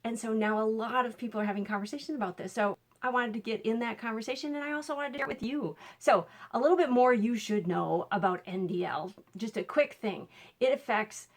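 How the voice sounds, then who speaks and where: A woman's voice: fairly high pitch, fairly quick. Chatty Minnesotan woman coach. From Minnesota, USA. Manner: chatty, upbeat, with little laughs and fillers. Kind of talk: casual direct-to-camera talk.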